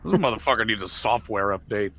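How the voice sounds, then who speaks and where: A man's voice: fairly low pitch, lively intonation, fast, fairly bright tone. A man playing a tabletop roleplaying game, chatting casually and arguing his case in an insistent, heavily profane way. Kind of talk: casual in-character table chat.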